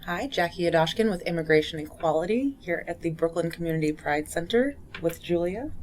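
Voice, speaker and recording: A woman interviewer gently asking questions in an oral history interview. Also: lowish voice, animated, brisk, slight background noise. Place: community center room.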